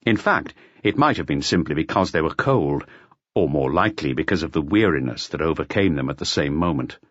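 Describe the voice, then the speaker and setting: A man narrating a literary audiobook in a measured, expressive storytelling manner. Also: very deep voice, dry recording, dull audio. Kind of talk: scripted literary narration of a detective novel.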